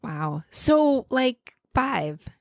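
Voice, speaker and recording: A woman's voice: high-pitched, very animated, very slow, vocal fry, no echo, studio quality. A woman from the United States in her thirties, co-hosting a casual podcast with bubbly, giggly, playful teasing. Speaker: podcast co-host.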